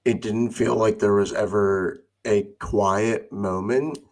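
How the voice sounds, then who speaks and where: A man with a somewhat low voice speaks hoarsely in a casual podcast chat. He rambles and fills his sentences with "you know.". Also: fairly even intonation, takes it slowly. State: hoarse from yelling.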